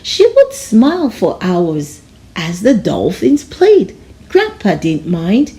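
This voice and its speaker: A woman narrating a children's storybook aloud in a measured, expressive storytelling manner. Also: mid-range voice. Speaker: storybook reader.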